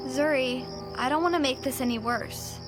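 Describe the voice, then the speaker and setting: A woman's voice: high-pitched, normal speed, over loud music, close to an everyday voice. A woman acting as a cautious, by-the-book alien engineer in an audio drama, with formal, anxiously precise speech. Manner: formal, precise, pedantic wording.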